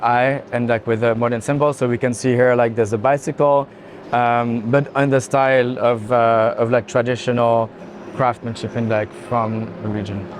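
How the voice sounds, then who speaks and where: Man chatting about art, noisy venue behind. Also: mid-range voice. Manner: halting, loosely phrased with frequent "like". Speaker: art institution director on a fair tour. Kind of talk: casual art commentary. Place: busy art fair hall. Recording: clear background noise of a busy venue.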